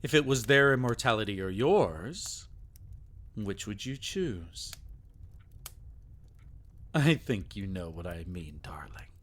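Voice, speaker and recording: A man's voice: lowish voice, big pitch swings, slow pace, long pauses, fairly bright tone, full character voice. A man playing a sadistic, possessive vampire in intimate ASMR roleplay, theatrical and taunting, often dropping into a whisper. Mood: smug, taunting, then menacing whisper. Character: sadistic, possessive male vampire toying with a captive.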